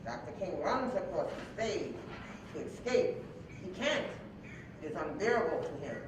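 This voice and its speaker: Distant, echo-heavy hall recording of a woman narrating a staged reading. Her lowish voice is lively and varied as she reads out short stage directions. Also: normal speed, noisy background.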